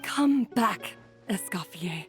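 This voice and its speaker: A woman's voice: mid-range voice, expressive, slow, soft background music. A woman playing a self-doubting, remorseful young head chef in a video game.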